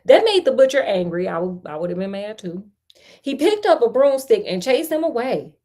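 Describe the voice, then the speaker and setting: A high-voiced American woman reads a fairy tale aloud. Her pitch swings widely and her delivery is playful. She breaks into casual, slangy chat as she goes. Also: sing-song, moderate pace, dark tone. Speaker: storyteller and host. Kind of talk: animated fairy tale reading with casual asides.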